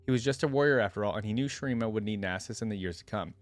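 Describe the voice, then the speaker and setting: A man's voice: on the low side, very fast, no echo. A man hosting a reaction video, talking conversationally and thinking aloud as he comments and reads lore.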